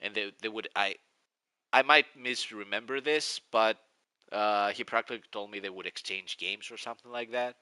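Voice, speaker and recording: Greek man with a sharp, dry voice. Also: lowish voice, moderate pace, clean tone, no room sound. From Greece. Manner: rambling, nostalgic, restarts often. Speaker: live streamer. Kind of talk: casual live-stream chat.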